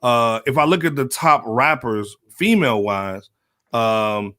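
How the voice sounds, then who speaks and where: A man's voice: mid-range voice, lively intonation, unhurried, fairly bright tone. An American man debating casually on a podcast panel, probing and insistent about his point.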